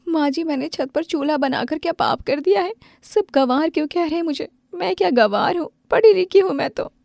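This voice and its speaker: A woman's voice: mid-range voice, fairly quick, mellow, no room sound, lightly acted. A woman voicing a stubborn young village daughter-in-law who defends herself insistently. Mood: upset.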